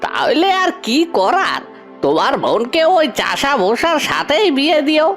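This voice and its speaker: A woman's voice: sits high, very animated, unhurried, fairly bright tone, soft background music, exaggerated voice. A woman voicing a pushy village matchmaker in a cartoon, wheedling and colloquial. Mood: dismissive, nagging. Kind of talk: cartoon fairy-tale voice acting.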